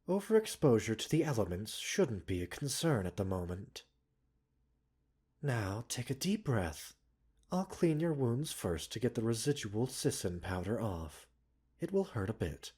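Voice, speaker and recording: Male actor as composed pharmacist doctor, soft breathy tone, slow pace, gently chiding, soothing, formal, ASMR roleplay. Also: on the low side, exaggerated voice. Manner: soothing, unhurried, politely formal with teasing asides. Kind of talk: ASMR roleplay audio drama.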